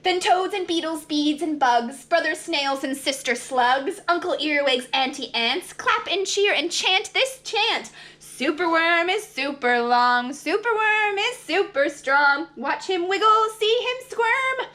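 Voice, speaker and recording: A woman reading a rhyming children's storybook aloud as a preschool teacher, with dramatic, expressive, singsong delivery. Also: very high voice, a little slow, hardly any pauses.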